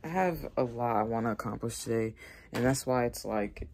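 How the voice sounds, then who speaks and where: A woman's voice: a bass voice, moderate pace, noisy background. A young woman chatting casually to camera in a small bedroom, rambling with lots of fillers. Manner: rambling, loose, filler-heavy talk. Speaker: vlogger. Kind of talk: casual vlog chat.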